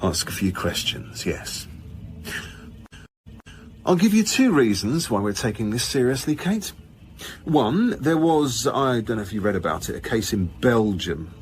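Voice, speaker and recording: A man's voice: medium pitch, very animated, measured pace. Jokey wily police commissioner guy, radio drama, blustery, very up and down voice. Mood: confiding, briefing. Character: jocular, wily male senior police commissioner. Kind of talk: naturalistic radio drama acting.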